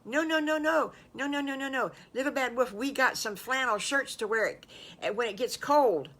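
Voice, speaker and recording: A woman's voice: high-pitched, normal speed, fairly bright tone, pitched above the performer's own voice. A woman voicing an eager, friendly young pig playmate in a children's story, emphatic and reassuring.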